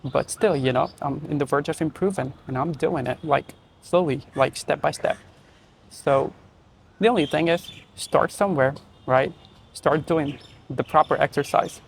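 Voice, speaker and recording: A man's voice: on the high side, moderate pace, creaky, a little hiss or hum. A young man with an Indian accent, hosting his own channel and talking casually to camera, often checking in with "right?" and "you know". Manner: conversational, frequent "right?" and "you know" fillers. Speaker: channel host.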